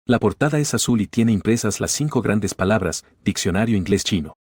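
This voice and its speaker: A man narrating a comic story in a flat, even read that treats quoted lines the same as the narration. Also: lowish voice, a little fast, husky, no room sound, clean studio sound.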